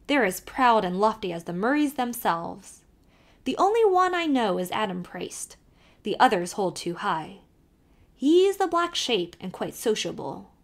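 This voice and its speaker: Storybook part: a woman playing a jolly old Irish peddler man, sly brogue, lively swooping delivery. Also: on the high side, a little slow, pitched above the performer's own voice. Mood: teasing. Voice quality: thick brogue, sly, teasing.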